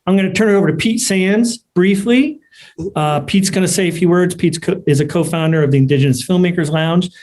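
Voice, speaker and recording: A man hosting an event, giving a semi-formal welcome speech with halting, repeated words. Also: high-pitched, darkish tone. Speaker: event host and organizer.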